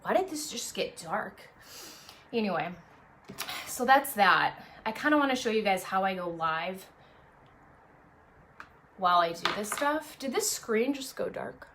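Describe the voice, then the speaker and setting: A woman presenting traffic and news live from her apartment dining room on a phone, chatty off air and brisk on air, a little sniffly. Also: mid-range voice, animated, fairly bright tone. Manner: chatty and self-deprecating off air, brisk and upbeat on air. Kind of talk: casual live stream chat and on-air traffic report.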